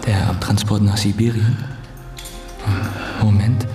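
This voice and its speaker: An actor playing a terse official announcing a deportation in a German radio drama, in clipped phrases. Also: male voice, fairly low pitch, fairly even intonation, slow. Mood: matter-of-fact. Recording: distant, echoey, with music.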